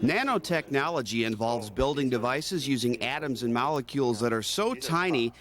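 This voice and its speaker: A man's voice: medium pitch, lively intonation, normal speed, noisy background. A man reading scripted news narration as the narrator of an institutional newsreel.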